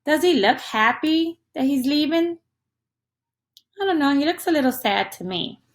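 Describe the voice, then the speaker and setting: A woman's voice: sits high, expressive, normal speed. A woman reading a picture book aloud to young children in an interactive, teacherly way, often putting questions to the listener.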